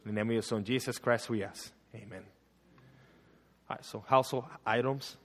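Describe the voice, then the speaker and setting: A man's voice: fairly low pitch, fairly even intonation, moderate pace, fairly bright tone. Panamanian-accented man preaching in a hall. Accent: Panamanian Spanish. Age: 30s. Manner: earnest, with frequent uh and um fillers. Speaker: guest preacher. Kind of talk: sermon and prayer. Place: church sanctuary.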